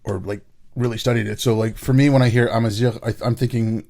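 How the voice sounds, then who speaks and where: A man's voice: mid-range voice, steady tone, fast, vocal fry, warm tone. A man hosting a podcast interview, talking in a loose, casual way with lots of fillers. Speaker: podcast host.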